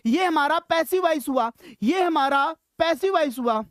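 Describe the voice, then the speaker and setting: A woman's voice: high voice, expressive, measured pace, no room sound, studio quality. A woman with an Indian accent teaching an informal lesson, chatty and encouraging, often checking that learners follow. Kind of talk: informal grammar lesson mixing Hindi and English.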